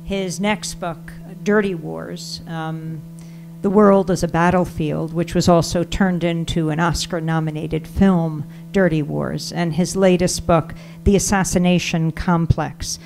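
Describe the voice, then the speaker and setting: A woman moderating a panel as she introduces the next speaker. She reads out titles in an unhurried way, pausing between phrases. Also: lowish voice, steady tone, gravelly, a little mellow.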